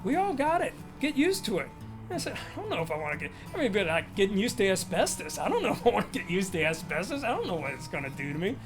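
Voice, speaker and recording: A man tells his story in a documentary interview. He is animated and slips into other voices for the quoted lines, and music plays clearly under him. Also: high-pitched, fast talker. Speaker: lawyer.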